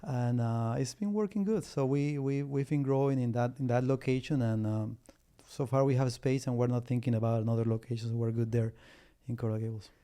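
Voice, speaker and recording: A man's voice: mid-range voice, steady tone, fairly quick, mellow, smooth. A middle-aged man from Peru, a business litigation lawyer, talking as an interview guest, whose first language is Spanish, with frequent restarts and fillers. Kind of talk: casual interview talk.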